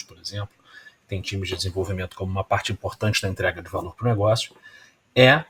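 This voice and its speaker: Husky-voiced Carioca man giving a tech talk. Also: medium pitch, fairly bright tone. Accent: Carioca Brazilian Portuguese. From Rio de Janeiro, Brazil. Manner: explanatory, often tags lines with "né?" and "tá?". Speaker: conference speaker, security researcher. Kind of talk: technical conference talk.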